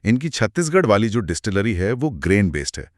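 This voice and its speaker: Animated low-voiced man explaining. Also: fairly quick, no room sound, studio quality. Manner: explanatory, emphatic, mixes English terms into speech. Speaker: co-host explaining business results. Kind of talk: conversational explainer dialogue.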